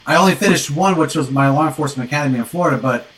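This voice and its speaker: A man with an American accent, an interviewer and course instructor, talking informally and rephrasing haltingly as he goes. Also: fairly high pitch, heavy background noise.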